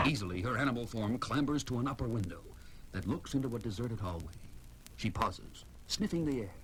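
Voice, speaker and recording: A man's voice: medium pitch, animated, a little fast, fairly bright tone, slight background noise, low-quality recording. A man narrating a scripted horror story in a theatrical, ominous style, like a 1970s story record.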